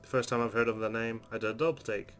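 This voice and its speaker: A man with a low voice narrates a story game at a quick clip. He reads in an earnest, expressive storytelling style over faint music. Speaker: let's player reading a visual novel aloud. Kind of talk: dramatic reading of first-person narration. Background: soft game music.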